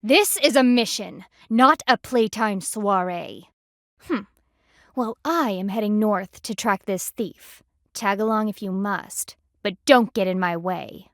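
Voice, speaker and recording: A woman's voice: medium pitch, takes it slowly, fairly bright tone, no room sound, clean studio sound. A woman voicing a stern, haughty panda army lieutenant in a video game, speaking in a formal, clipped, dryly disdainful way.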